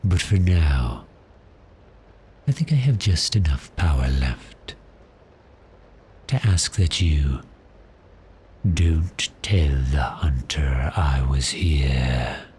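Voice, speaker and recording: Guy doing a teasing vampire for asmr roleplay, super slow breathy half whisper, seductive, politely menacing, dark mellow voice. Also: a bass voice, lively intonation, a little hiss or hum, full character voice. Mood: soothing, commanding. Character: cheerful predatory male vampire, suave and teasing.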